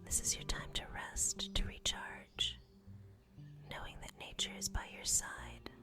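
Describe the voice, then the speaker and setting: Female meditation guide: breathy ASMR whisper, gentle and soothing, unhurried scripted delivery over prominent music. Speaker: guided sleep meditation narrator.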